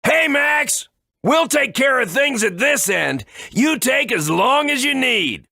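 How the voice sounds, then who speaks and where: Very high, bright crew mate. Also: male voice, sing-song, takes it slowly, vocal fry, no room sound, studio quality, heavily acted. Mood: hearty, reassuring. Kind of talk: video game character acting.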